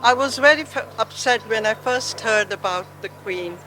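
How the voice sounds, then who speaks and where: A man from Uganda, reminiscing warmly in a street interview, at times halting over his words. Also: high voice, faint music behind, rough recording. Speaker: member of the public.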